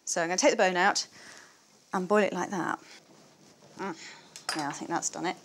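A woman's voice: lowish voice, fairly even intonation, normal speed, a bit bright, a little hiss or hum. A woman historian presenting, chatting and explaining as she works in a farm cottage kitchen, laughing now and then. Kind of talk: casual demonstration to camera.

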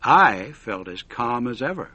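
A man slowly reading a story aloud for English learners, with clear, careful pacing. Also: mid-range voice, very animated, bright, forceful tone, no echo, muffled recording. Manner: slow, clearly paced reading. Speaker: narrator.

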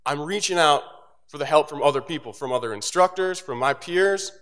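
A young man, an instructor, giving a casual, joking graduation speech from a podium microphone on an auditorium stage, with asides that drop low and quiet. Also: a little fast. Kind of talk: motivational commencement speech.

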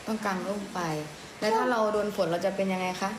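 A woman in a mother's role, telling simple stories to a small child in a casual, coaxing way through gentle questions. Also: mid-range voice, steady tone, fast. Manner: coaxing, question-led, with polite particles. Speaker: mother prompting her young child. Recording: echoey room with clear background noise.

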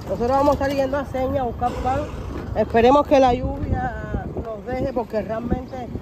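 A woman's voice: high-pitched, fairly even intonation, normal speed, crowd noise. A Cuban woman talking casually to her viewers as a vlogger while walking through the streets. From Cuba. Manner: chatty, addresses viewers as family. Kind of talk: casual vlog narration to viewers. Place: outdoors on city streets. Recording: noisy street recording. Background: street noise, dogs, vehicles.

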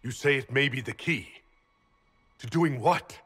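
An actor playing a bewildered young noble warrior held prisoner in a video game, halting and earnest. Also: male voice, mid-range voice, a bit bright, husky. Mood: questioning, wary. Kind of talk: dramatic video game acting. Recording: echoing hall, music underneath.

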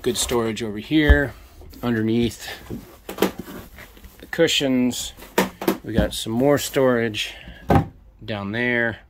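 A man in his fifties hosting a casual walkthrough tour of a sailboat, talking in a relaxed, enthusiastic way with lots of fillers, recorded on a handheld camera inside a small cabin. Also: mid-range voice, slow pace, long pauses, very noisy. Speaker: boat tour host. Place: small boat cabin, indoors. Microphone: handheld camera mic at arm's length.

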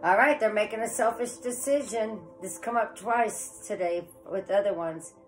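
A woman's voice: mid-range voice, normal speed, soft background music, low-quality recording. Chatty woman tarot reader with a head cold. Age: 40s. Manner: chatty, rambling, says "guys" often. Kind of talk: casual tarot reading to camera. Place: living room with electric fireplace, indoors.

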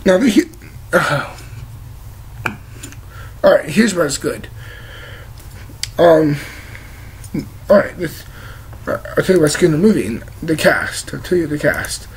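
Young man, sick, croaky cracking voice, high-pitched, rambling blunt vlogger, webcam, faint hiss. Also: lively intonation, slow, pauses often, rough recording. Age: young adult. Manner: rambling, gesturing a lot, blunt and swearing. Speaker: movie reviewer. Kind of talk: casual movie review vlog. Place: living room with leather couch, indoors. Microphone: webcam at desk distance.

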